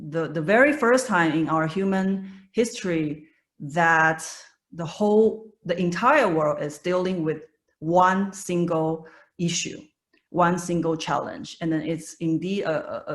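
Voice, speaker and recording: Taiwanese woman in her thirties, webinar presenter on a video call, slow delivery full of fillers. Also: on the low side. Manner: hesitant, many fillers and restarts.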